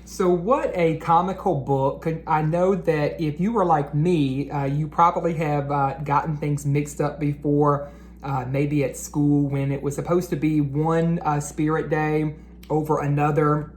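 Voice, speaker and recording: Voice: mid-range voice, fairly even intonation, a little slow, darkish tone, slight background noise. A read-aloud host narrating storybooks and talking to children in an encouraging, teacherly way.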